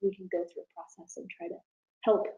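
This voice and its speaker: A woman with a soft-edged, mellow voice answers as a professor being interviewed. She is informal and thoughtful, reshaping sentences as she talks. The room adds a noticeable echo around her. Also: medium pitch, big pitch swings, fairly quick, creaky, dull audio. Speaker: university professor, interview guest. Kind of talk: conversational webinar answers. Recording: roomy, echoing room sound.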